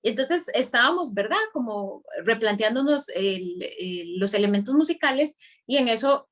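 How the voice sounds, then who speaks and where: A woman's voice: on the high side, lively intonation, normal speed, a bit bright. A young Costa Rican woman from Guanacaste, a musician guest, talking reflectively in a live video chat with many small restarts. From Costa Rica, Guanacaste. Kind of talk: casual interview over a live video stream. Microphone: video call.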